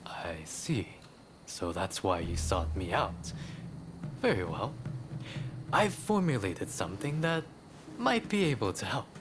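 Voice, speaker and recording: An actor playing a reclusive samurai medical researcher in a video game, courteous, wry and measured in his speech. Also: fairly low pitch, sing-song, background noise. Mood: cautious, courteous. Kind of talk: dramatic video game acting.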